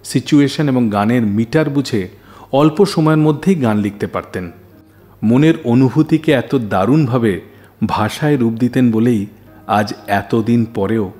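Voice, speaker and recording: A man's voice: lowish voice, warm tone. A man narrating scripted stories as a radio host, with dramatic storyteller phrasing.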